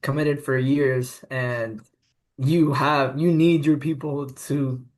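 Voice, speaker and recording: A man hosts a podcast interview in a relaxed, conversational way. He affirms what his guest says and tends to string his thoughts into long, flowing sentences. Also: medium pitch, slow pace, poor audio. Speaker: podcast host. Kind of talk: casual interview chat.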